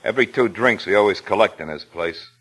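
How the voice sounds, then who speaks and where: Low-voiced, suspicious tough bartender. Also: male voice, fairly quick, a bit bright, poor audio. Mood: wry, wary. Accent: American. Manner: wry, clipped, needling. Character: suspicious, tough-talking New York bartender, wary of deadbeats. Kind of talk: mid-century American radio thriller acting.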